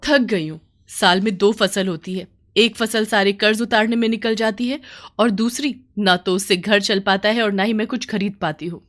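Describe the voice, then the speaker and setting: A woman voicing a proud, envious young village wife in a bedtime story, sharp, complaining and insistent. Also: medium pitch, steady tone, normal speed, pitched above the performer's own voice, natural voice. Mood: angry, demanding.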